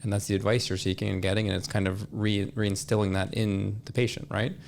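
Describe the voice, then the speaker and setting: A man talks with noticeable vocal fry and a fairly flat intonation. His delivery is measured and explanatory, like a guest on a podcast. A slight noise sits in the background. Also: lowish voice. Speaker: podcast guest, supplement industry representative. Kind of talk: conversational explanation.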